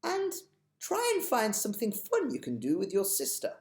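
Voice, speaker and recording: A man voicing a kind, polite, old-fashioned elderly gentleman tramp in a storybook reading. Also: high voice, wildly swooping pitch, normal speed, vocal fry, roomy sound, pitched above the performer's own voice, exaggerated voice. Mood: gentle advice. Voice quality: genteel, proper old-fashioned manner.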